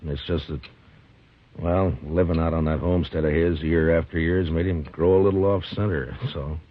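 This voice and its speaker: An actor playing a calm, level-headed frontier marshal in a 1950s radio drama, speaking in a measured way. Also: male voice, sits low. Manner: level-headed, measured, defends others gently. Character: calm, steady frontier marshal, adult man. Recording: slightly dull 1950s radio broadcast.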